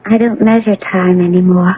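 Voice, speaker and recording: An actress playing a gentle, beckoning female spirit in a radio drama, with a soft, tender voice. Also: fairly low pitch, fairly even intonation, normal speed, narrow, tinny audio, natural voice. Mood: serene. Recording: noisy, band-limited old radio broadcast.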